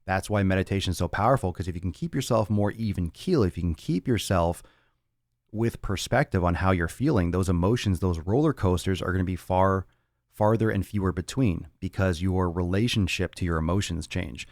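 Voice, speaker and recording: Man, deep low voice, rambling casual podcast talk, profane, dry studio sound. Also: fairly quick, a little mellow, dry recording. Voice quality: raspy on some days. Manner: blunt and profane, rambling with restarts. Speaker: fitness podcast host. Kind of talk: casual solo talk answering listener chat.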